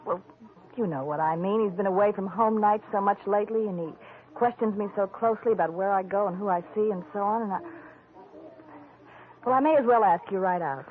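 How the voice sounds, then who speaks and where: A woman's voice: mid-range voice, fairly quick, natural voice. Anxious coaxing wife on tinny old radio woman acting. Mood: pleading. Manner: earnest, pleading, coy. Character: anxious, coaxing detective's wife, a former actress. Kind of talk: 1940s radio drama acting.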